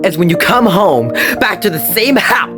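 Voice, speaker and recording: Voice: medium pitch, animated, normal speed, close to an everyday voice. A performer playing a distraught, volatile male teacher falling apart over his divorce in a comedy skit, with music behind. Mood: anguished. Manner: melodramatic, swinging between sobbing and shouting.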